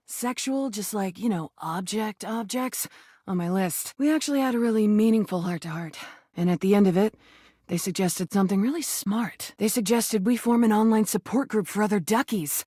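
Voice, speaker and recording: A woman voicing a brash, crude, flirty rubber duck in a video game, with a husky voice and a sardonic, slangy, sometimes defensive delivery. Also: mid-range voice, fairly quick, dark tone, no echo, studio quality, natural voice. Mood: sincere, upbeat. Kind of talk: video game character acting.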